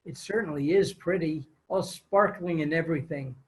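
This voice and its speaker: A man's voice: on the high side, normal speed, natural voice, rough recording. A man playing a folksy, enthusiastic announcer reading an old-time radio advertisement in a chatty, gushing way. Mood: cheery enthusiasm. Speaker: commercial announcer.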